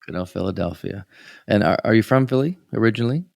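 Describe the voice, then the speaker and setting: A male podcast host with a deep, gravelly voice asks his guest questions. He often stops and restarts his sentences, with short affirming sounds in between. The sound is dry and close. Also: quick pace, darkish tone, dry recording. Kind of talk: interview questions, casual chat.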